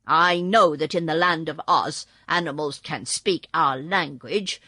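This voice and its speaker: A woman voicing a sullen, remorseful old male ferryman in a storybook reading, speaking low and slow. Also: bright, forceful tone, dry recording, clean studio sound, exaggerated voice. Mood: gruff.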